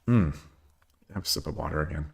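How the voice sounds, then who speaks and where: A man with a hoarse voice streaming shogi, talking casually and thinking aloud as he looks over his games, often repeating his phrases. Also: very deep voice, a little fast. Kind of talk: casual game commentary.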